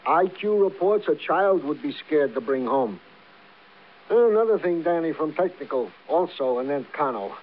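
Actor as higher-pitched, slangy police sergeant. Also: male voice, expressive, moderate pace, natural voice. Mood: eager, reporting. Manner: colorful slangy cop talk with stilted formal words. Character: eager, wordy male police sergeant, comically formal slang. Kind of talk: 1950s radio crime drama acting. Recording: narrow, tinny vintage radio recording.

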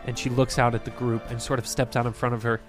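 Young guy narrating a tabletop game as gm, laughing, storytelling, soft music under it. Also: mid-range voice, level tone, fast. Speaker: game master.

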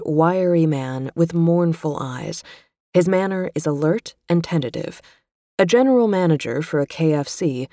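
A woman with a low, mellow voice reads a magazine feature aloud. Her delivery is measured and literary, and the recording is close and dry. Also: no room sound. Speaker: narrator reading a magazine feature. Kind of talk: scripted narration.

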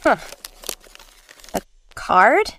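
Sassy, slow-drawling noir secretary. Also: female voice, a bit bright, slight background noise. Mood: breezy, curious. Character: brisk, sassy female detective's secretary. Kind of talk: old-time radio noir acting.

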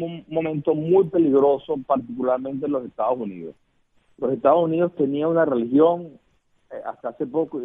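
A man's voice: on the high side, breathy edge. A man from Venezuela with a Venezuelan Spanish accent, a guest political consultant talking earnestly in a phoned-in radio interview, repeating words and asking rhetorical questions. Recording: muffled phone line.

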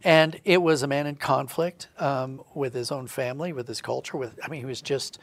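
A man's voice: medium pitch, fairly even intonation, moderate pace. A man speaking as a podcast guest, explaining reflectively in conversation and building his thoughts in stages. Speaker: podcast guest, writer and creative director.

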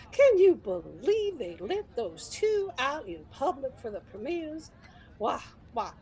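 Casting: excitable older folksy superfan, very high voice, slow dramatic delivery, radio comedy. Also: female voice, heavily acted, poor audio. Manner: breathless, folksy, exaggerated drawn-out delivery. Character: gullible, excitable old Southern soap opera fan lady. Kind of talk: comedic radio sketch acting.

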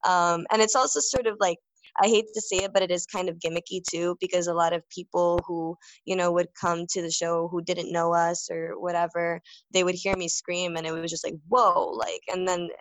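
A woman's voice: on the low side, little pitch movement, moderate pace, no room sound, poor audio. A young woman vocalist answering interview questions in a casual, rambling way, full of fillers and restarts. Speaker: band vocalist.

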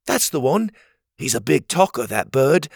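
A man's voice: mid-range voice, very animated, moderate pace, creaky, no echo, clean studio sound, voice pushed up. A man voicing a brave, cocky young boy hero who talks proudly and boldly. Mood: indignant. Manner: proud, bold and boastful.

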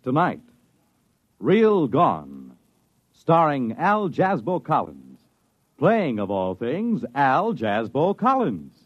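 An American man announcing a 1950s radio drama series in a portentous, measured manner. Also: mid-range voice, huge pitch swings, husky. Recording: muffled old radio recording, music behind. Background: music.

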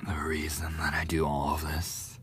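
A young man playing a lovesick, defensive school bully in a boyfriend ASMR roleplay, speaking haltingly in a husky, breathy voice. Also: extremely low pitch, monotone, a bit bright, slight background noise. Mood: grudging, confessing. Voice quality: husky, breathy, often dropping to a whisper. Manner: halting, swearing, coughing between phrases.

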